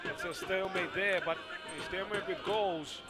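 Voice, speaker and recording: A man giving live football commentary, chatty with witty asides, and shouting at the big chances. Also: high voice, steady tone, moderate pace, loud music behind the voice. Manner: chatty, quips and asides between play, rises to shouts at chances. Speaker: match commentator. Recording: loud stadium crowd and noise behind the commentary. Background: loud stadium crowd.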